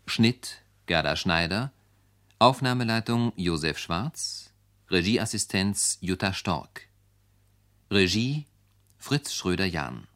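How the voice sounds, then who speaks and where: A male radio announcer reads out credits in a formal style. His voice is low and his delivery is measured, with an unhurried, slow pace. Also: fairly bright tone. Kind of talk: formal reading of closing credits.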